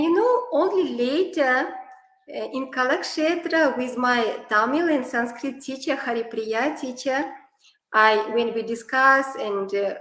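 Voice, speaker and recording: A woman's voice: fairly high pitch, a bit bright, roomy sound, poor audio. A woman from Kazakhstan with a Kazakh accent, a native Kazakh speaker, telling stories in non-native English with many fillers as an interview guest. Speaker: guest, classical dancer. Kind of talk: online interview.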